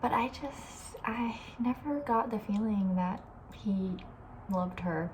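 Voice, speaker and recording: A woman talking softly and breathily in a casual, opinionated ASMR ramble, often slipping toward a whisper. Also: mid-range voice, slow pace, a little hiss or hum, poor audio. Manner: chatty, informal, opinionated, trailing off. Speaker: ASMR video host. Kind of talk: soft-spoken ASMR, casual rambling chat.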